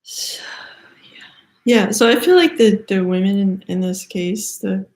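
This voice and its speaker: A woman with a Canadian accent giving a halting, thoughtful spiritual card reading, full of fillers and restarts. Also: medium pitch, lively intonation. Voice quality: soft-spoken, hesitant, many fillers and restarts. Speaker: tarot reader.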